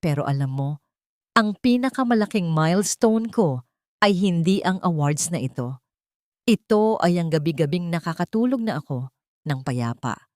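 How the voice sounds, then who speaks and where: Composed grown businesswoman, voiced by a woman storyteller, gently encouraging, deliberate, slightly low, dry romance-audiobook sound. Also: dry recording, close to an everyday voice. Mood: serene, grateful. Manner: calm, warm, deliberate public-speaker delivery. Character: composed, resilient businesswoman, gently empowering and sincere.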